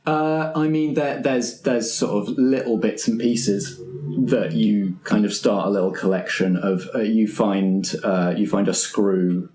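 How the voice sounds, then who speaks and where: A man improvising narration as game master of a tabletop roleplaying game, with halting phrasing. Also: lowish voice, steady tone, darkish tone, faint music behind. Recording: roomy, echoey tabletop recording.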